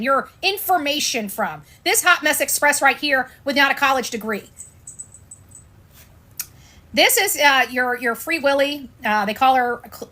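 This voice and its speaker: A woman's voice: high-pitched, pushed and bright. Blunt, sarcastic American woman commentator. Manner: blunt, sarcastic, repeats phrases for emphasis. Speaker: online commentator and show host. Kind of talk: informal opinionated livestream commentary.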